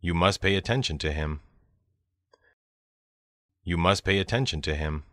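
A man reading short example sentences carefully and clearly for a listening lesson, in a dry studio voice-over. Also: low voice, unhurried, fairly bright tone, no room sound. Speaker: listening practice speaker. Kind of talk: slow read example sentences.

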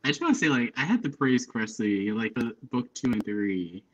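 A man's voice: medium pitch, lively intonation, moderate pace. A young adult man in casual, loosely phrased conversation as a panelist on a book video call. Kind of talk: casual chat. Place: indoor home room on a video call. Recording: slightly dull video-call audio.

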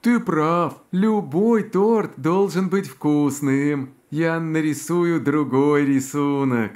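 A man's voice: fairly high pitch, slow pace, darkish tone. A man voicing an eager, impatient little cartoon cook with childlike, exclamatory delivery for a children's show. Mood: determined, puzzled. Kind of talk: animated children's cartoon dialogue.

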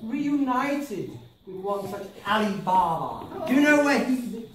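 A man's voice: sits high, animated, a little slow, a crowd in the background. A man playing a bossy, exasperated robber chief in an amateur stage pantomime. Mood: falsely polite. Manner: bossy, theatrical. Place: village hall stage floor, far camera. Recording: distant echoey village hall stage recording.

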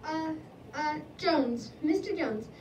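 A woman's voice: high voice, animated, slow pace, a little mellow, a little hiss or hum. A woman playing a guarded male printer who politely turns a visitor away, in an echoey room recorded from a distance.

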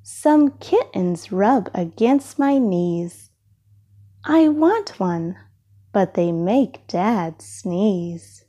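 A mellow, slightly husky woman reads a picture book aloud for small children. She goes very slowly, with lively rises and falls, phrasing each bit deliberately and expressively. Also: medium pitch. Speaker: storybook reader. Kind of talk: children's storybook read-aloud.

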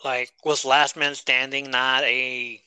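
Male podcast co-host, very bright and smooth voice, dry close mic, chatty and joking. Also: medium pitch, little pitch movement, takes it slowly, dry recording. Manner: chatty, reads notes, jokes and laughs. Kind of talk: casual wrestling review chat.

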